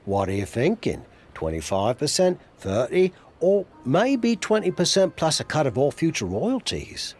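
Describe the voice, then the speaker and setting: A man's voice: a little high, wildly swooping pitch, unhurried, hardly any pauses, darkish tone, soft background music, heavily acted. A man playing a wry, blunt older wrestler turned manager in video game cutscene dialogue. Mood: wry, dealing.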